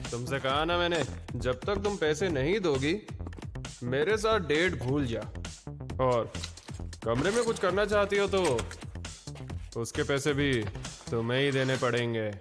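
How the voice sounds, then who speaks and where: A man playing an arrogant, sleazy young boyfriend in an animated cartoon dub, brash and contemptuous. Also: a little high, measured pace, long pauses. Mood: smug. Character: arrogant, sleazy, money-grubbing teenage boyfriend. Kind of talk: Hindi anime dub acting. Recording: music behind the voice.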